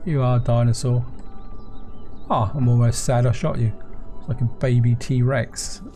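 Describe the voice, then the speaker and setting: A man with a sore throat and a croaky voice, casually commenting on his own gameplay and chatting to viewers. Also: mid-range voice, level tone, unhurried, warm tone. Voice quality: croaky, gravelly voice. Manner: chatty, addresses viewers as guys. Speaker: gameplay video host. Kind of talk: casual let's-play commentary. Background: game music.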